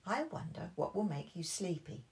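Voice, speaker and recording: A gentle, patient grandmother pig from a storybook, tucking little ones into bed, as a grandmother puts her on. The voice is soothing and lively in pitch, with a gravelly texture. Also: normal speed.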